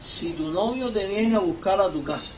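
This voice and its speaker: High-voiced Cuban pastor in an echoey church. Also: male voice, lively intonation, normal speed, a little hiss or hum. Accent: Cuban Spanish. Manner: emphatic preaching, climbs in pitch and volume on key points. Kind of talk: sermon. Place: church sanctuary. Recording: echoey church hall, voice from far off.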